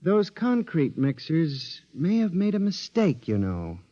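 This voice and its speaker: An actor playing a frantic, pleading patient in a mental hospital, in 1950s radio drama style. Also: male voice, a little high, wildly swooping pitch, unhurried, warm tone. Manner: earnest, pleading, rising to frantic. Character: man, a frantic asylum patient fearing machines. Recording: dull old radio broadcast.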